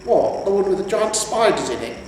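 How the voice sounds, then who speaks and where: A man's voice: high-pitched, sing-song, brisk, darkish tone, voice pushed up. A man voicing an aging, world-weary barbarian hero in a storybook, with a wistful, hesitant, plain-spoken manner. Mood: startled. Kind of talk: storybook character reading. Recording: echoey reverberant room, distant, faint hiss.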